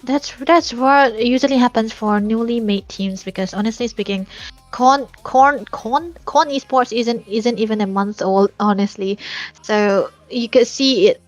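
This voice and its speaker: A woman's voice: on the high side, a little slow, no echo, dull audio, faint music behind. A woman casting a live esports match, breaking down plays and draft picks in detail. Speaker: esports caster.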